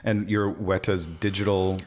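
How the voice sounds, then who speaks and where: A man's voice: low voice, steady tone, measured pace. A man hosting an interview, asking questions in an enthusiastic, conversational way. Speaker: podcast host.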